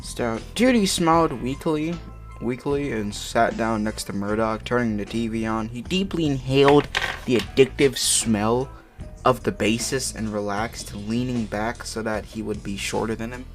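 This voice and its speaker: A man's voice: medium pitch, measured pace. A man hosting a comic reading of fan fiction, stumbling over words and breaking into slangy, profane, exasperated asides. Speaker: host reading fan fiction aloud for an online video. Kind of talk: comic dramatic reading with casual side commentary. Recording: music playing behind the voice. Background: music.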